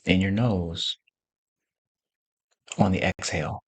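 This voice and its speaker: A man leading a guided meditation, giving gentle instructions in short, spaced phrases as a teacher. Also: deep voice, fairly even intonation, takes it slowly, slightly rough, no echo.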